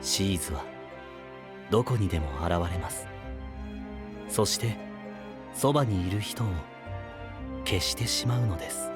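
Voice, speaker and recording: A man giving scripted fairy-tale narration in a measured, solemn and polite manner. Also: fairly low pitch, lively intonation. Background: music.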